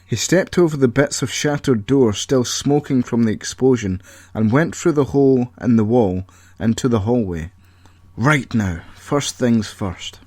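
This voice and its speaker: A man's voice: mid-range voice, normal speed, warm tone, no echo. A man reading a scripted audio story as its narrator.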